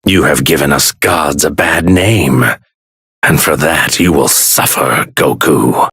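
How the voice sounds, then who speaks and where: An actor playing an arrogant god in a stolen body, pompous and sneering in formal, grandiloquent speech for an anime fighting game. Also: male voice, extremely low pitch, slow pace, a bit bright, husky, no room sound, studio quality, full character voice. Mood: disdainful. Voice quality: theatrical, sneering delivery.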